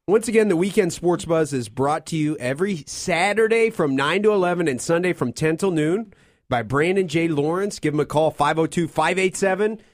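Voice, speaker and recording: Man, from kentucky, sports radio co-host, slightly high voice, dry close sound, easygoing. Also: moderate pace, no echo. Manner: relaxed, joking, reads sponsor lines. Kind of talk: sports talk radio banter. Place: radio studio.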